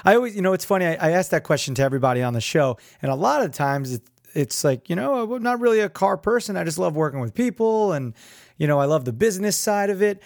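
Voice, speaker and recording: Higher-pitched male podcast host. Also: big pitch swings, fast talker, creaky, no room sound, clean studio sound. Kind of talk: casual interview chat.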